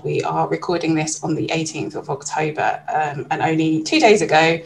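A woman's voice: low voice, flat delivery, moderate pace, creaky, slight background noise, rough recording. A woman moderating a panel discussion, putting questions to guests and chatting with frequent ums.

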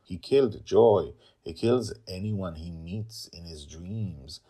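A man with a husky voice playing a tense, protective brother who speaks urgently and insistently in a read-aloud story. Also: deep voice, slow pace, warm tone, exaggerated voice. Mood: accusing.

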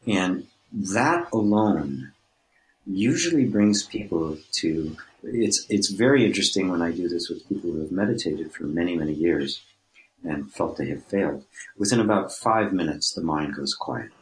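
A mature man with a low-pitched voice talks as a guest teacher in an interview. He explains his ideas reflectively and conversationally, often restarting a phrase as he works toward the wording. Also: lively intonation, moderate pace, poor audio.

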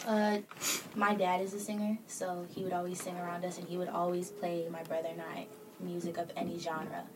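A young woman from Kenya, a singer-songwriter, telling her story as a podcast guest in relaxed, unhurried chat. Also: on the low side. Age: 20s. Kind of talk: casual interview chat. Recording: distant mic with clear background noise.

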